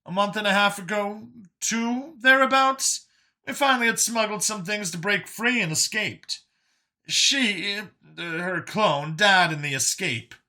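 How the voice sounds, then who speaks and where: A man voicing an earnest older male escaped captive in an audiobook, explaining past hardship in a halting, emphatic way. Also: sits high, bright, forceful tone, pitched above the performer's own voice, exaggerated voice. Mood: somber recounting. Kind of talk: audiobook character dialogue.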